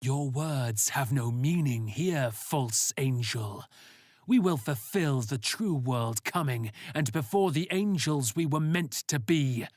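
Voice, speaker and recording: Man as stern, slow-speaking cult priest. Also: medium pitch, lively intonation, no room sound, studio quality. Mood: imperious. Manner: slow, solemn, grandiose.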